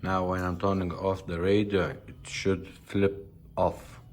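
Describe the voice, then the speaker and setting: Slow, low-voiced man demonstrating a product. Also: level tone. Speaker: video host and car radio seller.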